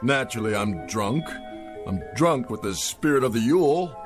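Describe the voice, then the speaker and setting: A man's voice: mid-range voice, animated, moderate pace, over music, natural voice. An actor playing a jolly, drunken middle-aged man acting as Santa Claus, with a theatrical, laughing manner, in a radio drama. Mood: merry. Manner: jovial, theatrical, laughing often.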